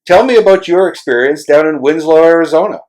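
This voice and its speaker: A man's voice: a little high, fast, a little mellow, rough recording. A man hosting a casual interview, talking in a rambling, hesitant way and building up to long questions. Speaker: podcast host interviewing a travel writer.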